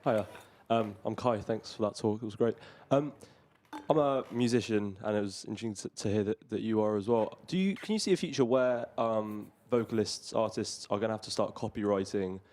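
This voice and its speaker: A man's voice: on the low side, a little fast, a little mellow, slight background noise. A man in an audience hesitantly asking a speaker a casual question. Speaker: audience member, musician.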